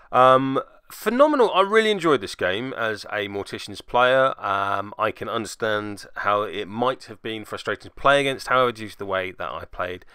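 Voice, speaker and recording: A man's voice: medium pitch, bright, forceful tone, clear, resonant tone, no echo, studio quality. A British man hosting a tabletop game recap, talking casually in a wry, self-deprecating, rambling way. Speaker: host of a tabletop game battle report channel. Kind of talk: casual scripted-free game recap commentary.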